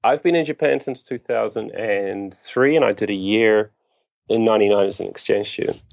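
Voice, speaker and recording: A man in his thirties with a New Zealand accent, answering interview questions in a halting, reflective, self-deprecating way. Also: lowish voice, vocal fry, no room sound. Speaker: interview guest, business co-founder.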